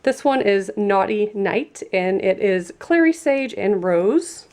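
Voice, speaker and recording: A woman's voice: medium pitch, animated, slow pace, a little mellow. A woman reviewing and unboxing a gift set in a chatty, enthusiastic way, sounding stuffy and congested from a cold. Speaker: product reviewer.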